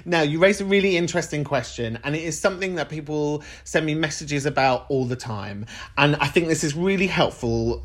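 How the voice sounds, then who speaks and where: A man who grew up in Ireland but has an English-sounding accent, hosting his podcast in an earnest, animated monologue. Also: on the high side. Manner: earnest, animated and playful with callers. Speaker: podcast host. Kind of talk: reflective solo monologue.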